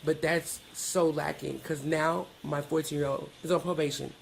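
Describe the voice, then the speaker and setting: A woman's voice: deep voice, fairly even intonation, moderate pace, creaky, a little hiss or hum, poor audio. A middle-aged American woman telling personal stories candidly as an interview guest, with emphatic, colloquial delivery. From Georgia, United States.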